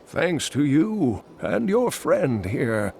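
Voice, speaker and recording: A slow gravelly old scholar. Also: male voice, mid-range voice, big pitch swings, darkish tone, slight background noise, heavily acted. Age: older. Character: old male scholar, wise and storytelling.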